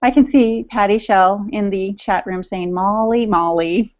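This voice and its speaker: A woman's voice: mid-range voice, fairly even intonation, a little slow. A middle-aged American woman chatting casually as a podcast co-host over a video call. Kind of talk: casual chat. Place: home room. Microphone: earphone headset on video call. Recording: dull, lo-fi video call audio.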